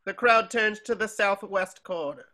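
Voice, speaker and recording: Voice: medium pitch, moderate pace, pushed and bright. A narrator reading scripted stage directions at a table reading.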